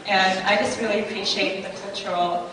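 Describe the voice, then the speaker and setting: A woman's voice: mid-range voice, steady tone, brisk. Young woman telling her story to a crowd, lots of um and like, far off mic in a super echoey hall, people and noise around. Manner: hesitant, chatty, laughs through awkward parts. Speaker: conference attendee giving testimony. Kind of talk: personal testimony to an audience. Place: large indoor hall.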